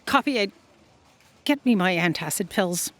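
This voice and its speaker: Woman playing an imperious, animated lady boss. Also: fairly low pitch, measured pace. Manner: pompous, commanding. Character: imperious, impatient female boss, coffee-craving and dismissive.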